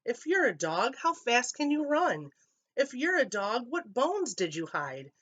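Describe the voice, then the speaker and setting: A woman's voice: a little high, sing-song, measured pace, a bit bright, voice pushed up, full character voice. A woman voicing a friendly pet dog in a storybook, teasing and good-humored. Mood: playful.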